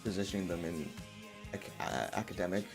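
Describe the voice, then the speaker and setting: A man's voice: sits low, animated, brisk, music in the background. A man streaming in a casual, rambling way, thinking aloud and putting himself down. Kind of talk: casual livestream chat.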